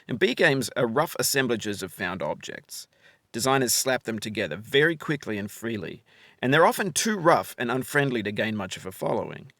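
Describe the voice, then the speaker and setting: Expressive man narrating, close and dry. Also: lowish voice, gravelly, no room sound. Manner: measured, philosophical, articulate. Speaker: game developer narrating his own game. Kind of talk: reflective scripted narration, essay-like.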